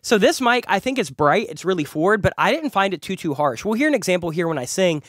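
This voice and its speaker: A man's voice: sits high, sing-song, quick pace, fairly bright tone, no room sound. A young adult man hosting a casual gear review, enthusiastic and chatty and repeating words for emphasis, a bit nasal from a cold, talking close into a studio condenser. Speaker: music producer and YouTube host. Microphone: large studio condenser close up.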